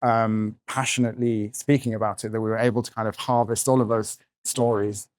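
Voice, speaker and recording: A man with a croaky voice, the author and podcast co-host being interviewed at a bookshop event, answering in a chatty way with lots of fillers. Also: fairly low pitch, flat delivery, a little fast, a little mellow, dry recording. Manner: chatty, with many fillers and hedges. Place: bookshop event, in front of an audience.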